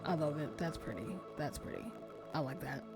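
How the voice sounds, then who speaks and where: A woman streamer chatting casually while she plays, soft-spoken and sometimes nearly whispering. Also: low voice, normal speed, music in the background. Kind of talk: casual gameplay commentary. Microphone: desk streaming mic.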